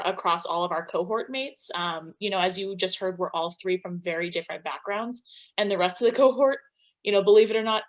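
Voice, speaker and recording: A young woman talks as a panelist in an informal student webinar. Her voice has an average pitch for a woman, and she speaks in a conversational way.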